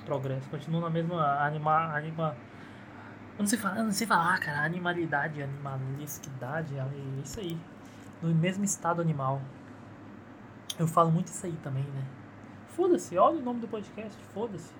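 A man's voice: fairly high pitch, lively intonation, a little fast, noisy background. A man with a Brazilian accent hosting a solo podcast, rambling in a cynical, profane and self-mocking way while holding his phone to record. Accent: Brazilian Portuguese. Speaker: podcast host. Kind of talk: casual solo podcast monologue. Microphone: phone held in one hand.

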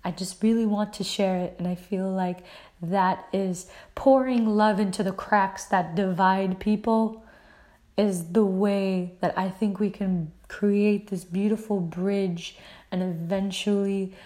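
A woman from the United States talks alone as a podcast host at an unhurried pace. Her pitch sits in the middle for a woman, and her manner is earnest and affirming, with casual phrasing that often leans on filler words. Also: fairly even intonation, darkish tone. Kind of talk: casual solo podcast monologue.

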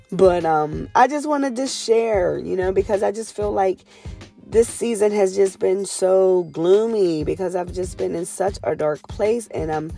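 A woman hosting her own podcast in a candid, casual monologue, her voice raspy and hoarse from a cold. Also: mid-range voice, a little slow, warm tone, faint music behind. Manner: candid, earnest, swears casually. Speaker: podcast host.

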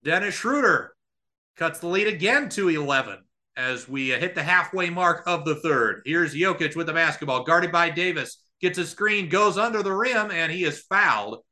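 A man calling live basketball play-by-play as a podcast host, somewhat halting between plays. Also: a little high, expressive, pushed and bright.

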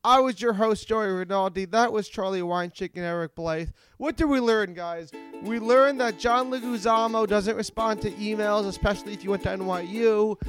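Podcast host, male, high-pitched, loose and teasing comedic chat over music. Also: normal speed, few pauses, a bit bright, clear, resonant tone. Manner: informal, teasing, stumbles over words. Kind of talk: casual comedic panel chat about movies.

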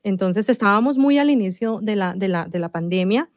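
A woman's voice: medium pitch, lively intonation, fairly quick, no echo. Chatty Colombian woman, dry sound. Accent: Colombian Spanish. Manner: chatty, many fillers and restarts. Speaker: singer-songwriter guest. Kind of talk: casual interview conversation.

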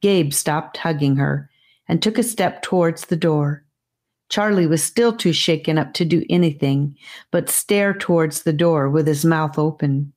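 A woman reading a fantasy story as an audiobook narrator, in a measured storybook manner. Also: low-pitched, darkish tone.

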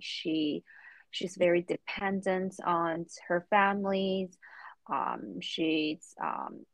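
Low-pitched young woman, English speaker. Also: no echo. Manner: halting, careful phrasing in non-native English. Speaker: podcast guest, recent therapy student. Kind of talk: reflective interview answers.

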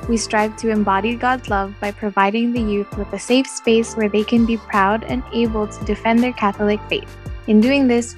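A young woman of twenty-one with a slightly high voice reads a prepared statement for a church youth podcast. She speaks earnestly. Soft music plays faintly underneath. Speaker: youth ministry leader, podcast co-host.

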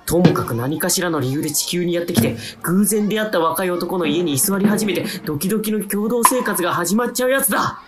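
A man's voice: high-pitched, expressive, fast, hardly any pauses, over a noisy crowd. An actor playing a timid young man who narrates his own radio drama with wry, self-deprecating asides. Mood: flustered. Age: young adult. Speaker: narrator-protagonist. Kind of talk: radio drama inner monologue.

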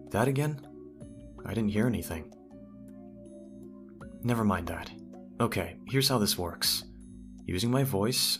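A man playing a teasing, smitten teenage childhood friend in an ASMR audio roleplay, talking straight to the listener in a conversational way. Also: fairly low pitch. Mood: calm, persuasive.

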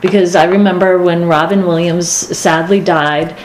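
A woman with a Boston accent, co-hosting a podcast in casual discussion, getting animated as she makes her points. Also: moderate pace. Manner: animated, rises in pitch when excited. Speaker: podcast co-host. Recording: echoey room with faint noise.

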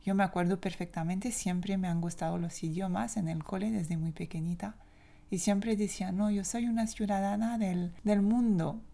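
A woman from France speaking with a French accent, a language teacher giving a reflective, opinionated solo podcast talk in measured phrases. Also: mid-range voice, steady tone, gravelly, mellow.

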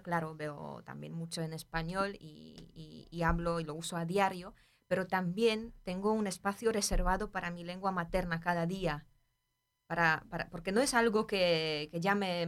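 Thoughtful woman, Polish native speaker. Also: fairly low pitch. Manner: thoughtful, hesitant, many restarts. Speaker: literary translator, guest. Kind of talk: casual podcast conversation.

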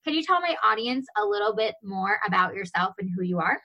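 A woman's voice: medium pitch, animated, brisk, dull audio. A woman in her thirties hosting an interview show, gushing and chatty with lots of "you know" and "like". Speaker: show host. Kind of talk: casual interview chat.

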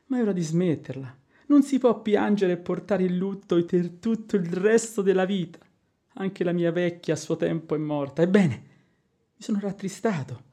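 A man's voice: high-pitched, mellow, slightly rough, full character voice. An older man acting in a radio drama as a fussy, pleading old manservant, coaxing and homely.

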